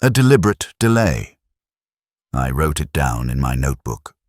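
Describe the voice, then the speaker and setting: A man playing a melancholy police inspector who narrates his case in a measured, literary, reflective way, as in a scripted mystery drama. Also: extremely low pitch, sing-song, no echo, studio quality, full character voice. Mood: pensive, measured.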